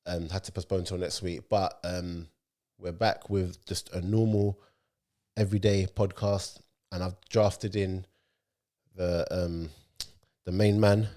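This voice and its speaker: Deep-voiced young podcast host. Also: male voice, fairly even intonation, slow pace, warm tone. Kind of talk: casual football podcast chat.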